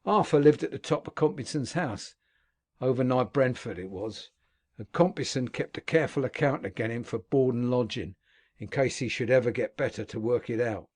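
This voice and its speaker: A man voicing a rough old male convict who tells his past in earthy dialect speech. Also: medium pitch, moderate pace, darkish tone, close to an everyday voice. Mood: grim recounting. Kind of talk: audiobook character reading.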